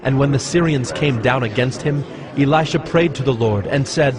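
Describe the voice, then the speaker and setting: A man narrating scripture as a scripted story in a solemn, measured manner. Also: mid-range voice, a crowd in the background. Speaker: Bible narrator.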